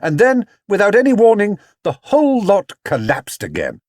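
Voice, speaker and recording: A man narrating a children's storybook in an expressive, theatrical way. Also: high-pitched, normal speed, dry recording, clean studio sound. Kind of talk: animated children's audiobook narration.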